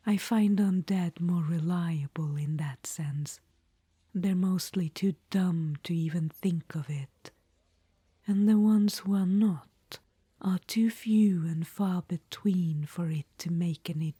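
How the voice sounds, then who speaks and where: A woman's voice: on the low side, husky, no echo, heavily acted. A woman voicing a scheming, dryly wry undead witch queen in a whispered audio roleplay. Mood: sly. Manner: soft unvoiced whisper.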